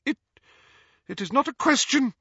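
A man's voice: mid-range voice, big pitch swings, unhurried, fairly bright tone, slightly rough, no room sound, muffled recording, voice pushed up, exaggerated voice. A man voicing a proud, cold young woman ghost in an audiobook, with a stiff, disdainful and guarded manner. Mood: flustered, indignant. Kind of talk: audiobook character voice.

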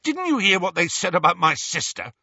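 A man voicing a resentful teenage boy wizard who shouts and sneers bitterly. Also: high-pitched, sing-song, a little fast, vocal fry, slightly rough, no room sound, muffled recording, pitched above the performer's own voice, heavily acted. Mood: furious.

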